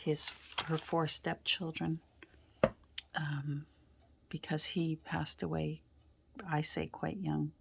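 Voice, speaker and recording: A woman with a stuffy, congested cold, chatting casually while she crafts, rambling softly and often dropping into a whisper. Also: low voice, level tone, slow, vocal fry, pauses often, slight background noise. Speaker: journal-making crafter. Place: indoors at a craft worktable.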